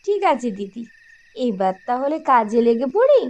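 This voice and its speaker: A woman's voice: a little high, very animated, moderate pace, a little mellow. A woman voicing a meek, gullible young girl in a cartoon, innocent and eager to please. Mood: innocent, obedient. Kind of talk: cartoon voice acting in a moral tale.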